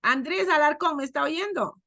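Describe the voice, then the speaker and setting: A woman teacher leads a class over a video call, her voice set high and recorded close and dry. She quizzes the students as she goes, stretching key words out slowly for emphasis. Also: bright, forceful tone, dry recording. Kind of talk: online class lecture.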